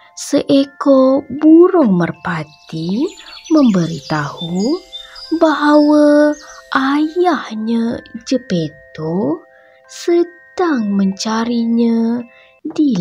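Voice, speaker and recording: A woman reading a bedtime story for children, in a slow, gentle, sing-song way with a breathy voice. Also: a little high, very animated, faint music behind.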